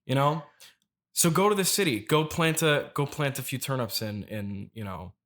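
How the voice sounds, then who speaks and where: An earnest, obsessive farmer played by a man in a comedy interview. He rambles in a mid-pitched voice, stumbles over his words and circles back to repeat them, dodging any detail. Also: a bit bright, natural voice. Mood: enthused. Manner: halting, repetitive, evasive. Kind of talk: improvised mock interview.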